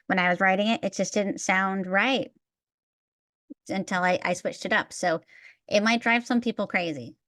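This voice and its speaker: A woman's voice: mid-range voice, moderate pace, a bit bright, no echo, clean studio sound. A woman hosting a podcast, chatting casually and explaining things at length. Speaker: podcast host.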